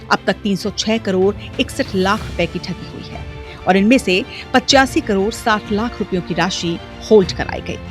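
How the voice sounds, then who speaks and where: A woman reading a scripted news voice-over with punchy, dramatic phrasing. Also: medium pitch. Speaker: news report narrator. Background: faint music.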